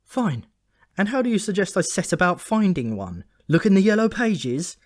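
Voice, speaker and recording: A man voicing a bold, indignant young man with an excitable, questioning way of talking, in a storybook reading. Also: sits high, normal speed, voice pushed up. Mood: flippant.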